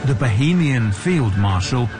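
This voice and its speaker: A male reporter delivering a scripted radio feature in a measured storytelling manner. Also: mid-range voice, lively intonation, over loud music.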